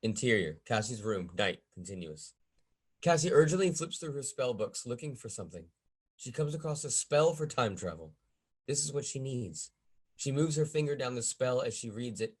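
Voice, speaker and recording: A man's voice: mid-range voice, animated, moderate pace, breathy edge. Male narrator, mid-range voice, scripted screenplay directions at a table read. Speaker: narrator reading stage directions.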